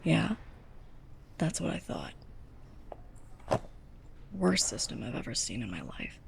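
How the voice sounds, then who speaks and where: A young woman playing a sarcastic, scolding, snobbish librarian's assistant in a close-up ASMR roleplay, breathy and often near a whisper. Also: low-pitched, huge pitch swings, slow pace, noisy background, full character voice. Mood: annoyed, sarcastic. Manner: sarcastic, exasperated, pointed delivery.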